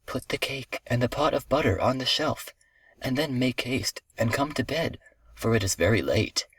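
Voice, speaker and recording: A man voicing a wicked storybook wolf disguised as an old grandmother, soft and coaxing. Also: fairly high pitch, moderate pace, husky, dry recording, voice pushed up.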